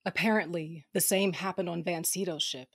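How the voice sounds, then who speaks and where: Woman acting husky, blunt space warrior. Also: on the low side, steady tone, normal speed, no echo. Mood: grim, blunt. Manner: blunt, terse. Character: blunt, tough, foul-mouthed female space warrior, loyal. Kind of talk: audio drama acting. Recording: dry studio voice over music. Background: music.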